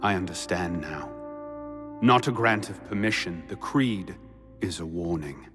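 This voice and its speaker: An actor playing a solemn, reflective young assassin who narrates a video game in a somber, deliberate way. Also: male voice, sits low. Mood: somber reflection. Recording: reverberant hall, orchestral music behind.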